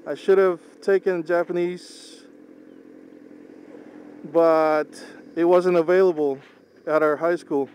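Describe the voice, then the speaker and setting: A young man whose first language is Tagalog chats while driving, in a fairly high, unvarying voice. He talks slowly and unhurriedly, pausing as he looks for words. A faint car engine hums under his talk. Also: fairly even intonation, darkish tone. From Philippines. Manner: slow, unhurried, halting with pauses. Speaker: vlogger. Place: inside a moving car. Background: car engine and road noise.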